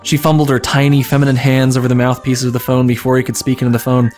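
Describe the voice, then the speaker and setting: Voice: mid-range voice, flat delivery, quick pace, a little mellow, faint music behind. A streamer giving an informal, amused dramatic reading of comic fan fiction, stumbling over the text now and then. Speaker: streamer and story reader.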